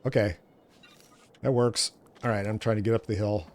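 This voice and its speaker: A man's voice: on the low side, fairly even intonation, moderate pace. A man co-hosting a gaming show, chatting casually and thinking aloud as he plays. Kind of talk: casual gameplay chat.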